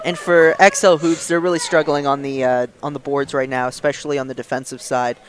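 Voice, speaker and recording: A man giving color commentary on a basketball game, analysing plays with quick restarts. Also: medium pitch, little pitch movement, slight background noise.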